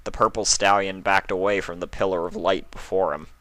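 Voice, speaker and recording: A man narrates a story from a script. His voice sits fairly low, and the recording is very dry, with hardly any room around it. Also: steady tone, brisk, a bit bright, no room sound. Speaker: fan fiction reader and narrator.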